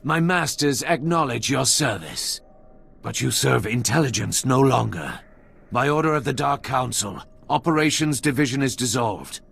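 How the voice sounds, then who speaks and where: A man playing a cold, ruthless Sith commander in a video game, with a raspy, gravelly voice and imperious, deliberate delivery. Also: mid-range voice, expressive, a bit bright, slight background noise. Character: cold menacing male Sith lord, a ruthless military commander.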